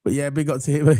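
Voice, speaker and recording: A man from England with a croaky voice from a cold, hosting a casual football chat and rambling warmly to viewers. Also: on the high side, no echo, studio quality. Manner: chatty, rambling, gives shout-outs to viewers. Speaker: football podcast host.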